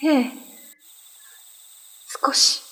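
A woman's voice: drawn-out words, bright, forceful tone. A woman playing a suffering but dignified imprisoned seer in a radio drama, formal, polite and earnest. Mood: weak, grateful.

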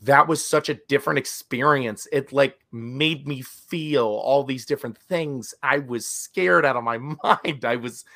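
Podcast co-host, male, raspy and recovering, bright tone, animated casual chat. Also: medium pitch, a little slow, few pauses. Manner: animated, chatty, many restarts.

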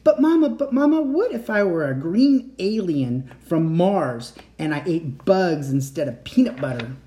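A small boy from a bedtime storybook, curious and insistent, his voice lifted high and swinging up and down as he pleads his questions, put on by a man. The tone stays soft and mellow underneath. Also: sing-song, measured pace. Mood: pleading.

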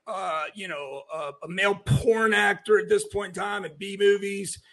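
An American man with a notably high voice and a strong creak in it hosts an interview. He talks casually, like a show host chatting with a guest. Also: steady tone, normal speed, a bit bright.

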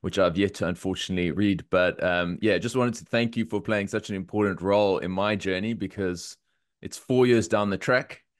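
A man's voice: low-pitched, normal speed. A man hosting a podcast interview, upbeat and enthusiastic, sniffly from a cold. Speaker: podcast host.

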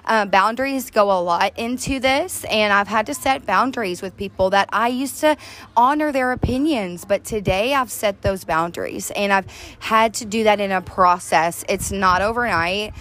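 Deep-voiced woman, earnest recovery podcaster. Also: moderate pace, fairly bright tone, slight background noise. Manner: earnest, heartfelt, addresses listeners as "you guys". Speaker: podcast co-host. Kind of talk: faith-based recovery talk. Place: outdoors on an apartment back deck.

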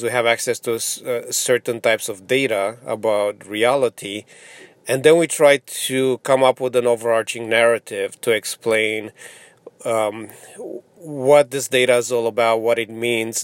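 A man whose first language is Romanian, giving an informal explanatory podcast monologue in a halting, reflective manner with frequent pauses. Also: mid-range voice, no room sound, clean studio sound. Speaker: podcast host.

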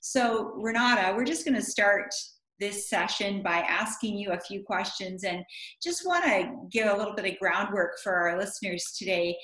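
A woman host leads a faith interview in an encouraging, affirming manner. Her mid-pitched voice carries some room echo. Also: expressive, moderate pace, a bit bright.

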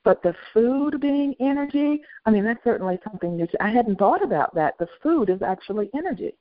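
A woman's voice: a little high, animated, brisk, phone-quality sound. A woman hosting a talk show, reacting effusively with frequent exclamations of amazement. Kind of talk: interview hosting.

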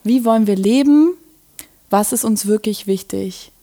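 A woman's voice: medium pitch, a little slow, mellow. A woman in her twenties from Berlin with a Berlin accent, a podcast guest talking eagerly with lots of "ähm" fillers and easy laughter. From Germany. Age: 20s. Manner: enthusiastic, laughs often, many fillers. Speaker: podcast guest, design student. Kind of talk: casual chat.

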